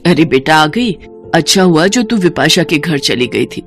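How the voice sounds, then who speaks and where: A caring, weary mother from a poor household, voiced by a woman. She sounds homely and gentle, a middle-aged figure in a cartoon moral tale with music underneath. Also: sits low, lively intonation, moderate pace.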